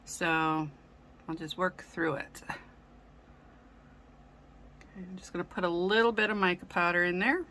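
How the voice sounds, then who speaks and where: Slow, soft-spoken woman with a sore throat. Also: lowish voice. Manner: gentle, unhurried, with frequent ums. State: slightly raspy from a sore throat. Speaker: craft channel host. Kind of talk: casual resin craft tutorial.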